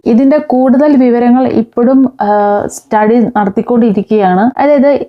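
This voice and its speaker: A woman hosting an explainer vlog, talking directly to viewers. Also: a little high. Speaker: video host. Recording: dull, lo-fi sound.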